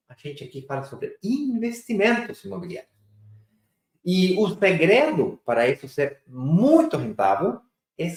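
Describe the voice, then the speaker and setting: A man's voice: a little high, echoey room. A man from Chile with a Chilean Spanish accent, hosting a casual talk as a real estate broker, chatty and correcting himself as he goes. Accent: Chilean Spanish accent in Portuguese. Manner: chatty, self-correcting, drifts into Spanish words. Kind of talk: casual presenting to camera.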